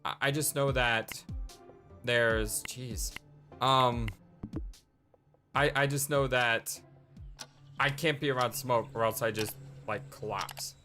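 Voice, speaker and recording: A woman's voice: a bass voice, steady tone, slow pace, pauses often, sharp tone, soft background music. A woman hosting a let's play, chatting casually and reading game dialogue aloud between personal asides. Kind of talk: casual gameplay commentary.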